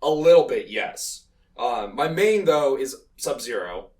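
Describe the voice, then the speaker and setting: A man hosting a casual chat about games and films, rambling excitably and swearing freely. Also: medium pitch, measured pace. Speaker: podcast host. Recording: roomy echo, distant from mic.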